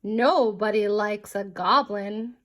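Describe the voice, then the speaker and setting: A woman's voice: mid-range voice, takes it slowly, a bit bright, pitched below the performer's own voice. A woman voicing a plain-spoken, cautioning hill troll in a children's storybook.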